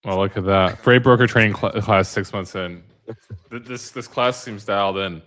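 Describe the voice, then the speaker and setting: Chill guy hosting a podcast, deep voice, dry close sound. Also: moderate pace, fairly bright tone, no echo. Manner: easygoing, reads posts aloud. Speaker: podcast host. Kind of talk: casual freight market chat.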